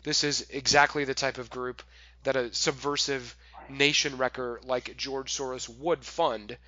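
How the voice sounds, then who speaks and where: A man's voice: medium pitch, fairly even intonation, measured pace, bright, forceful tone, lo-fi sound. A man hosting a political interview, speaking emphatically and building in intensity. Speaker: host.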